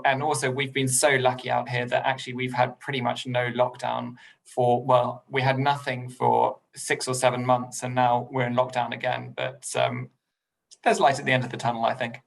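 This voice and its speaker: Gravelly, flat-toned English man chatting. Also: medium pitch, brisk. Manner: polite, self-deprecating, with many ums and laughs. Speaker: podcast guest. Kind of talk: casual interview chat.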